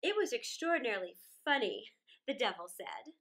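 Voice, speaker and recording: A middle-aged woman reading a children's story aloud in a theatrical, deliberate way, drawing out words with dramatic pauses. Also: fairly high pitch, very animated, a bit bright. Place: small indoor room against a plain wall.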